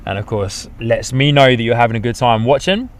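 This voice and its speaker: A man's voice: mid-range voice, animated, brisk. A man from the UK with a mixed English and New Zealand accent, hosting a chatty live plane-spotting stream outdoors by an airport. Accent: mix of English and New Zealand. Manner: upbeat, chatty, talks to viewers. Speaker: livestream host.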